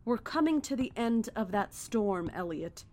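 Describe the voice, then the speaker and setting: High-voiced serene female cult leader. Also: expressive, darkish tone, a little hiss or hum. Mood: calm zeal. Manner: measured, solemn, preaching. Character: serene, fanatical female cult leader in a post-apocalyptic horror. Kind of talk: horror audio drama acting.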